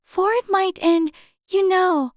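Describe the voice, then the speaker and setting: Alice, a very high-voiced little girl. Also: takes it slowly, no room sound, studio quality. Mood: wondering. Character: Alice, curious, self-scolding little girl. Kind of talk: dramatized audiobook character acting.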